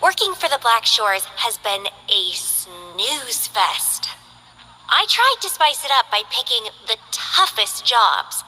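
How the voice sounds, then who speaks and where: A woman's voice: on the high side, big pitch swings, takes it slowly, sharp tone, long reverb tail, full character voice, low-quality recording. A woman voicing a languid, bored young mercenary who loves plants, in game character voice lines with a lazy, teasing drawl.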